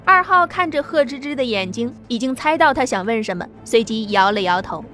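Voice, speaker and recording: A woman's voice: fairly high pitch, animated, a little fast, bright, forceful tone, soft background music. Woman narrating an audio novel. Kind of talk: scripted narration.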